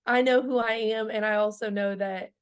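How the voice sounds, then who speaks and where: A woman's voice: on the high side, steady tone, normal speed, clear, resonant tone. A woman in her early twenties, a country singer chatting casually in an interview, filling her talk with "like" and laughing often. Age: 20s. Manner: bubbly, laughs often, lots of "like" fillers.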